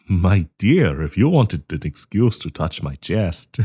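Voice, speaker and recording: A man's voice: low voice, very animated, warm tone, husky, full character voice. A man playing a kindly old professor with a hidden possessive menace, courtly and teasing in an intimate audio roleplay. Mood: teasing, fond. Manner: courtly, teasing, chuckling, deliberate.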